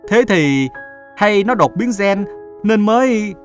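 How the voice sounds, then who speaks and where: Man acting cartoon son around thirty, earnest, restless, animated intonation, music behind. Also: sits high, slow. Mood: puzzled. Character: earnest thirty-year-old son, restless and self-doubting. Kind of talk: Vietnamese moral-story cartoon dubbing.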